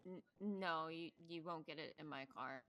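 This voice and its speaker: A woman's voice: sits low, normal speed, fairly bright tone. A woman playing a cagey, stubborn law officer in casual online roleplay, teasing and sarcastically evasive. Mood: guarded, firm.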